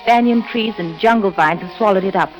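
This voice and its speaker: An actress playing a sardonic, unsentimental widow and guide in an old radio serial. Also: female voice, mid-range voice, brisk, lightly acted, poor audio. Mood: secretive. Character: brisk, cynical English widow guide in the jungle. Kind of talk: old-time radio adventure acting. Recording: old radio drama recording with music.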